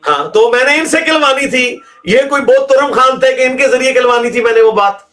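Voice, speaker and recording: A man's voice: extremely high pitch, lively intonation, fairly quick, a bit bright, soft background music. Argumentative Pakistani man with a raised voice. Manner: argumentative, emphatic, asks rhetorical questions. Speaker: religious scholar answering questions. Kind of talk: conversational question-and-answer talk.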